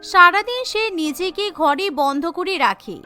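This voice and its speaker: A woman's voice: very high-pitched, animated, normal speed, bright, forceful tone. A woman reading scripted storytelling narration for a cartoon. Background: background music.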